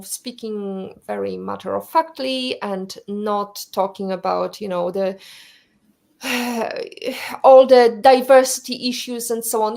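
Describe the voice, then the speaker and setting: A woman's voice: mid-range voice, creaky. A woman hosting a live stream interview, searching for words with frequent fillers as she sets up her questions. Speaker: host.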